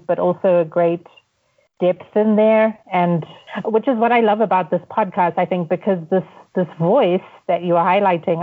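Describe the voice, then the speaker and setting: A woman with a Zimbabwean accent speaks in a slightly low voice, reflective and searching, often restarting her sentences. She is heard over a narrow, tinny call line. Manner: reflective, many restarts and fillers. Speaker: podcast guest. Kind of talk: casual interview conversation.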